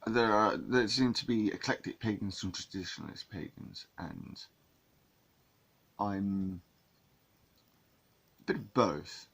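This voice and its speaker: A man from England with a low, husky voice talks casually to camera. He rambles, restarting his sentences and repeating words as he goes. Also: takes it slowly, long pauses, fairly bright tone. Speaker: vlogger.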